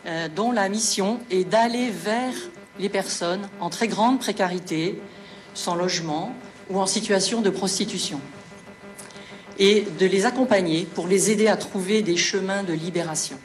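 A woman, director of a welcome centre, giving a measured, formal welcome speech with frequent hesitations. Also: medium pitch, soft background music.

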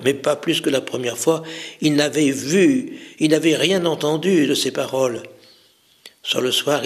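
A man narrating a religious story as a storyteller, deliberately, in short phrases separated by pauses. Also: medium pitch.

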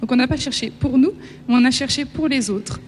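A woman's voice: high-pitched, fairly quick, darkish tone. A woman in her twenties from Paris, with a French accent, giving an informal personal testimony. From Paris, France. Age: 20s. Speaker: young witness giving a testimony. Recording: reverberant hall, faint hum.

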